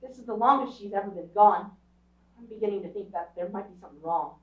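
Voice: medium pitch, quick pace, a bit bright, close to an everyday voice. A performer playing a proud, defiant young boy in a stage radio drama, blunt and insistent. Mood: worried. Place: small theatre stage, recorded from audience camera. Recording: dull, echoey stage recording from far off.

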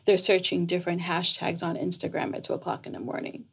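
Female podcast guest, middle-aged, gravelly fry and husky edge, explanatory and animated, close studio mic. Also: lowish voice, fast talker. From Jamaica, raised in New York. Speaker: guest, psychotherapist. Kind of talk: conversational podcast interview. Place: small foam-treated podcast studio. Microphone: close boom-arm studio microphone.

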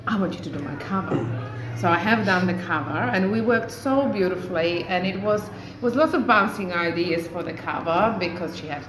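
A woman's voice: lowish voice, normal speed. A middle-aged woman from Poland, a native Polish speaker with a Polish accent, speaking as a guest artist in a casual interview. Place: live in a taproom bar. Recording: echoey, noisy live venue recording.

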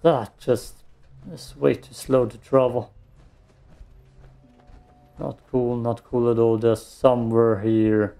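Slow-paced man muttering gameplay commentary, gravelly edge. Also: mid-range voice, level tone, mellow. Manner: muttering to himself, swearing. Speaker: let's play streamer.

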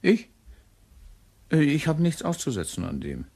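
An actor in a radio play playing a middle-aged, deferential and quietly knowing long-serving shop employee with a husky voice. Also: male voice, on the high side, huge pitch swings, measured pace, heavily acted.

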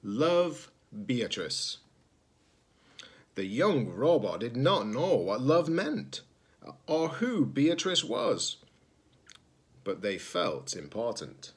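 Slightly husky middle aged guy doing a kids storybook read aloud, really lively up and down delivery. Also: medium pitch. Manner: expressive, pausing to ask listeners questions. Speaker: teacher-librarian. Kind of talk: children's picture book read-aloud. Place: indoors, room at home with sloped ceiling.

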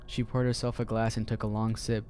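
Low, dark-voiced male narrator. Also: a little hiss or hum. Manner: calm, measured storytelling. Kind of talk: scripted horror story narration.

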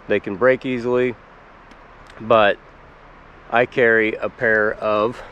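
A man's voice: mid-range voice, lo-fi sound, slight background noise. A man in his forties, hosting a foraging channel, chatting casually to the camera about his gear while kneeling in the woods. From Pennsylvania, US. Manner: relaxed, chatty, explains plainly with fillers. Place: woods outdoors in spring.

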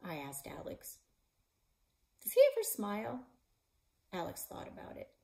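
Brief: expressive woman narrator for a middle-grade read-aloud, pitch that swings widely, recorded at home by a fireplace. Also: a little slow, creaky. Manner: lively, voicing characters with shouts and whispers. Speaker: librarian and author reading her novel aloud. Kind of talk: children's book read-aloud. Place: living room in front of a stone fireplace.

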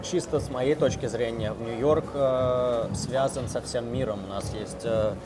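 A man in his thirties from Russia with a Moscow accent, chatting in a relaxed, rambling way with filler sounds as an interview guest in a café. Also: mid-range voice, measured pace. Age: 30s. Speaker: interview guest, software engineer. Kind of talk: casual interview chat. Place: busy café, indoors. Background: loud music.

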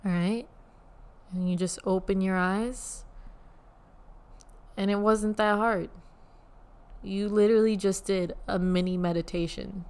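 Soothing, smooth-voiced woman podcaster. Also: mid-range voice, fairly even intonation, unhurried, long pauses. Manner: gentle, talks listeners through a short guided meditation. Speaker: podcast host. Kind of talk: casual solo podcast talk. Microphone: USB studio microphone.

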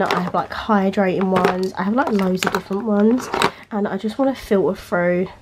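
A woman's voice: mid-range voice, noisy background. A young woman, a beauty vlogger, chatting casually with lots of fillers while filming handheld in her bedroom, sounding nasal after being ill. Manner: rambling, filler-heavy, chatty. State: nasal, recovering from illness. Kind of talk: casual chat. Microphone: handheld camera, freehand.

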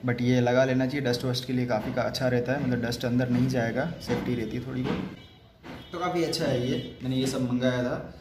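Flat-toned young man, echoey recording. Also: medium pitch. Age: 20s. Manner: repetitive, informal, mixes in English words. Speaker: vlogger. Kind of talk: casual product review to camera. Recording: echoey room with clear background noise.

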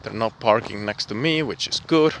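A man's voice: lowish voice, moderate pace, creaky, fairly bright tone, slight background noise, low-quality recording. A young man from Estonia vlogging casually outdoors at night and from his car, rambling and self-deprecating, swearing now and then. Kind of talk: casual vlog chat.

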